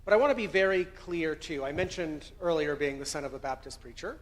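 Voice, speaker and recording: A man's voice: a little high, a little fast, a little hiss or hum. A man with an American accent, raised in Colombia, giving an earnest, conversational conference presentation about research findings. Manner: conversational, earnest, self-correcting. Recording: long hall reverb.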